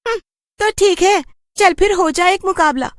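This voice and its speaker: Shrill, scolding village witch. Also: normal speed, no echo, clean studio sound. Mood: challenging. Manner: scolding, indignant exclamations. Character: cranky, territorial village witch, easily outraged.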